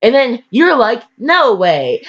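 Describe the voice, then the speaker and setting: A woman's voice: on the high side, very animated, slow pace. Woman in a dull, lo-fi recording, rambling bluntly. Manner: slangy, blunt, digressive, mock-scolding. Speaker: host reading fan fiction aloud. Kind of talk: casual reading with rambling commentary.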